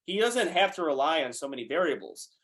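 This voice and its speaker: A man in his late twenties talking powerlifting on a podcast, quick and animated, cursing casually. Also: fairly high pitch. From United States. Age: 20s. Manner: fast, animated, swears freely. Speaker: guest powerlifter.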